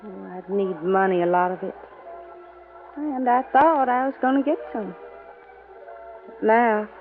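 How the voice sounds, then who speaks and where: An actress playing an unhappy, money-hungry wife in a languid, flirtatious 1950s radio drama style. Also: female voice, mid-range voice, a little slow, phone-quality sound, soft background music, close to an everyday voice. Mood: wistful, seductive. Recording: band-limited old 1950s radio recording.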